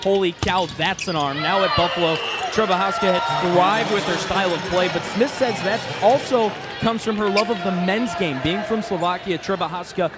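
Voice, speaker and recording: Guy doing a sideline report, high voice, super loud crowd around him. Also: moderate pace. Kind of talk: prepared live sports report. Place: courtside in sports arena. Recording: loud crowd and court noise. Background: crowd and bouncing balls.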